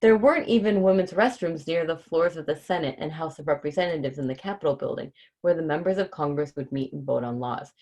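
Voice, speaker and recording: Low-pitched woman on a children's livestream. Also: quick pace, few pauses, a little mellow. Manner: informal, explains hard words simply for children. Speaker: host reading a children's book on a livestream. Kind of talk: casual livestream chat and children's book read-aloud.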